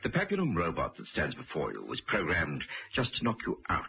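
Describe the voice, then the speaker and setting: A man playing a villainous scientist in a radio drama, measured, condescending and coolly grandiose about machines. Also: deep voice, huge pitch swings, gravelly, heavily acted. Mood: smugly lecturing. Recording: dull radio recording with faint noise.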